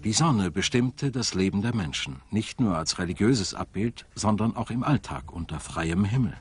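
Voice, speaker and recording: A man reads scripted documentary narration in a measured, articulate, storytelling way. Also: fairly low pitch, expressive, breathy edge. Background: background music.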